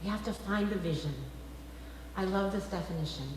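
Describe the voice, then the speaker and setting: A woman minister delivering a sermon to her congregation with emphatic, deliberate phrasing. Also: medium pitch, darkish tone. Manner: rhetorical questions, emphatic phrasing with deliberate pauses. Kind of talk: liberal church sermon. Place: large reverberant church sanctuary, indoors. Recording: very echoey hall, faint background noise.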